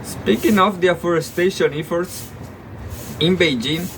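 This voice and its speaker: Guy reading news, kinda high voice, stumbles and repeats words, loud ac hum behind him. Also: rough recording. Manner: halting, repeats words, sarcastic asides. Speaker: news commentator. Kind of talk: reading news with off-the-cuff commentary.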